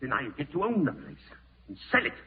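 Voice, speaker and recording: A man's voice: on the high side, sing-song, brisk. An actor in a 1940s radio horror play as a cruel, scheming man, melodramatic and intense, on a tinny old recording. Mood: menacing. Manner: menacing, melodramatic, muttering to himself. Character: cruel scheming man gloating, then frantic with panic.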